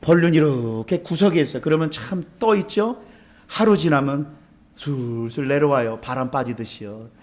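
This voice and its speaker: A man's voice: on the high side, normal speed, darkish tone. A man from South Korea preaching as a pastor, conversational and emphatic, with rhetorical questions and repeated key phrases. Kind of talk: sermon with rhetorical questions and anecdotes.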